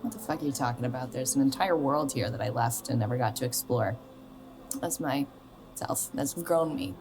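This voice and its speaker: A woman's voice: extremely low pitch, moderate pace, noisy background. A young woman playing a blunt, restless, sardonic 27-year-old star in a naturalistic radio drama, probing in a conversational way. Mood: incredulous, blunt. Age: young adult.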